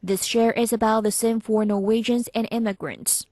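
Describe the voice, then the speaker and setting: A woman reading a scripted news report in a measured, formal manner. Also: a little high, flat delivery, warm tone, dry recording, clean studio sound.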